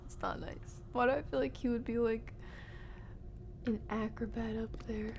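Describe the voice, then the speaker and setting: A woman's voice: fairly high pitch, fairly even intonation, moderate pace, gravelly, darkish tone, a little hiss or hum. A woman giving casual, playful live game commentary in slangy language, often murmuring to herself and slipping into whispers. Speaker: game streamer.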